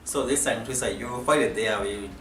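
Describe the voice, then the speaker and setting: A man's voice: medium pitch, normal speed, echoey room, far from the mic, noisy background. A young Kenyan man whose first language is not English, co-hosting a casual vlog in an easygoing way and often laughing. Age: young adult. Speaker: channel co-host, student. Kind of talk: casual chat to camera.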